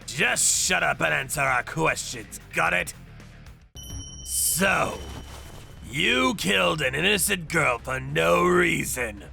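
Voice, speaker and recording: A man's voice: on the high side, lively intonation, slow pace, vocal fry, sharp tone, full character voice. A man voicing a ruthless underworld avenger in a manga dub, menacing and theatrical as he narrates. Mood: cold menace. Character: ruthless male underworld avenger narrating his torture jobs. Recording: background music under voice.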